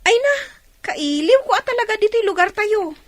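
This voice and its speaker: A friendly, chatty housemaid in an Ilocano radio drama. Her high voice is very animated and recorded dry, with an Ilocano Filipino accent. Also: moderate pace, no echo. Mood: cheerful.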